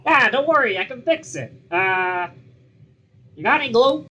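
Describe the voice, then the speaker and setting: A woman's voice: fairly high pitch, expressive, a bit bright, husky. A woman voicing a wisecracking small male dragonfly sidekick in a dramatic script reading, with music underneath. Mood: sheepish. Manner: sarcastic, mocking, quick quips.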